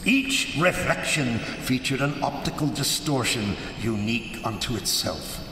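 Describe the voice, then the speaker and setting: An actor playing a scheming nobleman in live gothic radio theater, falsely courteous and sly, with theatrical, deliberate phrasing. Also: mid-range voice, lively intonation, pushed and bright. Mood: brooding narration. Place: large hall before a live audience. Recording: echoey hall, live stage, noisy background.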